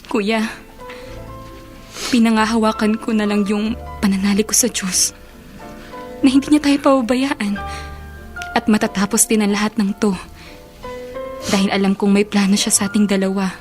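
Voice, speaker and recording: A woman acting a gentle, devoted younger sister in a radio drama, tender and emotional in manner. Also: mid-range voice, little pitch movement, moderate pace, long pauses, soft background music, close to an everyday voice. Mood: consoling.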